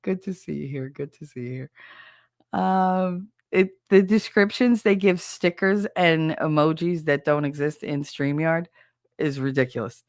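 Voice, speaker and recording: Stuffy, gravelly New host. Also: mid-range voice, unhurried, no echo, studio quality. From New Jersey. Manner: rambling, chatty, self-deprecating, greets chat viewers. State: stuffy, nasal from a cold. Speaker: livestream host. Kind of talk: casual live-stream chat.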